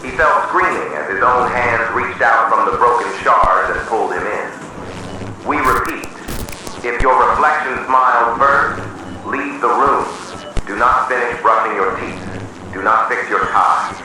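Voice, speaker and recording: A man reading a scripted horror emergency announcement in a solemn, measured, official manner. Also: medium pitch. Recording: distant, heavily echoing, noisy emergency broadcast.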